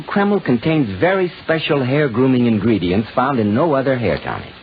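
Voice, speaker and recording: A male announcer delivering a polished, emphatic 1940s radio commercial, heard on a muffled, noisy old recording. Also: medium pitch. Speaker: radio announcer and sponsor pitchman.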